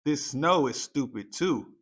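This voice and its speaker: A naughty storybook kid, read by a man, grumbling and acting tough. The words come out as scornful, muttered complaints at a measured pace. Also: medium pitch, lively intonation, fairly bright tone. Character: grumbling, neglected naughty children trying to seem tough.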